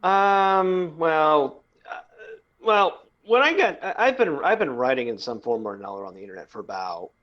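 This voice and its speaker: 30s guy podcast host, rambly halting storytelling, kinda muffled lo-fi sound. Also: fairly high pitch, animated. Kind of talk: casual podcast chat.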